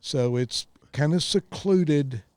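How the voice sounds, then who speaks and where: An easygoing man in his sixties spins a story at an unhurried pace for his podcast. His voice is mellow and dark, heard dry and close, with no room around it. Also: fairly high pitch, clear, resonant tone, no echo. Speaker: podcast co-host. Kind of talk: rambling casual chat. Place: outdoors on a lakeside tower.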